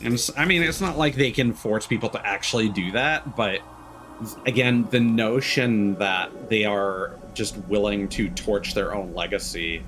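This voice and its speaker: A low-pitched man chats casually on a gaming livestream. He rambles and halts as he finds his thoughts, with loose, sweary phrasing. Music plays clearly behind his voice. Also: a little slow, fairly bright tone, rough recording. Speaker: game streamer.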